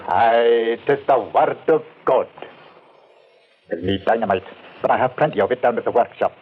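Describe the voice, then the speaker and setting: An actor playing a pious, brisk and practical foreman in an old-time radio drama. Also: mid-range voice, slightly rough, lightly acted. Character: pious, brisk, practical male foreman, stern believer in scripture. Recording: dull, faintly noisy vintage radio recording.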